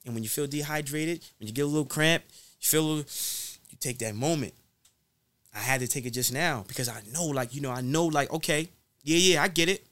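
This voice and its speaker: A man's voice: on the high side, fairly quick, fairly bright tone, no room sound. A man giving motivational talk as an interview guest, speaking emphatically in a preacher-like way and repeating phrases to drive them home.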